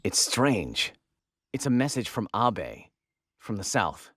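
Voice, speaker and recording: A man playing an irritable, uptight squad fighter in an anime audio drama, terse and husky. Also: on the low side, big pitch swings, slow pace, a bit bright, no echo, heavily acted. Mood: grave, tense. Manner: terse, snappish.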